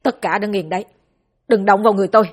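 A woman voicing a desperate young son who begs his parents and stands up to them, with a breathy voice and faint music behind. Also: mid-range voice, normal speed, close to an everyday voice. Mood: defiant. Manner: pleading, resolute.

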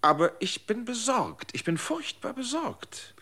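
An actor playing an anxious former rebel who pleads and asks searching questions in a radio drama. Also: male voice, very high voice, very animated, a little slow, sharp tone, exaggerated voice.

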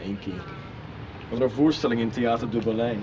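A man's voice: medium pitch, moderate pace, muffled recording, crowd noise, natural voice. A young actor playing a friendly, easygoing young man who shows a visitor around, in a radio soap with street noise. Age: young adult. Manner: friendly, relaxed, guiding. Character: Bert, a young Dutchman working in Berlin, helpful and easygoing. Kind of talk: 1990s radio soap acting. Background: city traffic and street sounds.

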